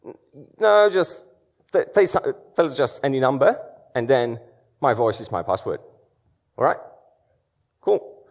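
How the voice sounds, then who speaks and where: A man's voice: medium pitch, big pitch swings, a little slow, creaky, long pauses. Man, 30s, conference speaker, chatty with fillers, big auditorium. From Poland. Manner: conversational, many fillers and restarts. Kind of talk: conference talk. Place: large dark auditorium stage.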